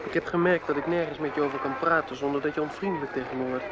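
An earnest, sensitive lad, played by a male actor in a radio drama. His voice sits fairly high and his manner is sincere and polite. The recording is muffled, with clear noise. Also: fairly even intonation, racing, lightly acted. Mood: sulky. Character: earnest, touchy, lovestruck teenage boy. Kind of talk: radio play dialogue. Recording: dull, noisy radio play recording.

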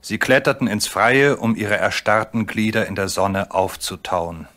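A man's voice: fairly low pitch, unhurried, a bit bright, soft background music. A man narrating a scripted children's adventure story as the narrator of a radio play. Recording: faint background noise.

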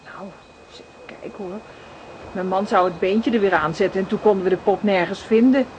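A woman's voice: medium pitch, fairly quick, darkish tone, highs cut off, lightly acted. An actress playing a flustered, chatty young mother in a radio drama, with a hesitant way of talking. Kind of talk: Dutch radio drama acting. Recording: slightly noisy recording.

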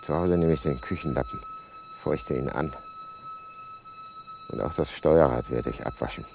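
A man's voice: very high voice, wildly swooping pitch, normal speed, noisy background, heavily acted. An actor playing a middle-aged murderer in a radio drama who mutters his plans to himself and is courteous to strangers. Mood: cold. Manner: muttering inner monologue, then courteous small talk. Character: calculating middle-aged male murderer, outwardly polite. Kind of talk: 1980s radio drama acting.

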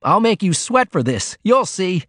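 A man's voice: a little high, very animated, fairly quick, dry recording, studio quality, pitched above the performer's own voice. A man voicing a brash, self-important young cub reporter in a storybook reading, with an airy, eager manner. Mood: indignant. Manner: eager, airy, indignant when crossed.

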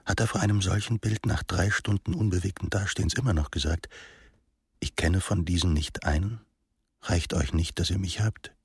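An actor playing a probing, sceptical man in a radio drama, asking insistently in a husky, breathy voice. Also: low-pitched, moderate pace, no room sound, clean studio sound, full character voice. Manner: insistent questioning. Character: probing, sceptical male questioner. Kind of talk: radio drama monologue of questions.

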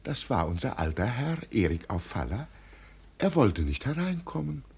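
A man's voice: medium pitch, big pitch swings, moderate pace, gravelly, a little mellow, slightly rough, heavily acted. An actor playing a deluded old peasant who believes he is an emperor, solemn and grandly proclaiming, in 1950s German radio drama style. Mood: solemn, insistent.

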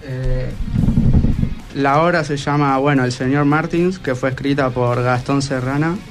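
Teen boy reading a report on radio, medium pitch, music clearly behind. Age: teenager. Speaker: student guest on school radio show. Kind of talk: reading a short report aloud. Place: radio studio.

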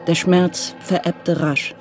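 A narrator reading a crime radio play in a measured, even way. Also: mid-range voice, music in the background. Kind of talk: scripted narration.

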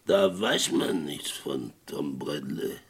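An actor playing a boastful, haunted, whisky-drinking old former sheriff in his eighties, halting and dramatic, in a German western radio play. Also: a bass voice, lively intonation, exaggerated voice. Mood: brooding, bitter. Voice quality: gravelly. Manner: halting, repeating himself, dramatic.

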